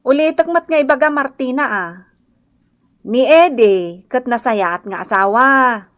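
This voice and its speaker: Woman acting a high-voiced, reproving Ilocano villager. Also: a little slow, fairly bright tone, dry recording, clean studio sound. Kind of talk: Ilocano radio comedy drama acting.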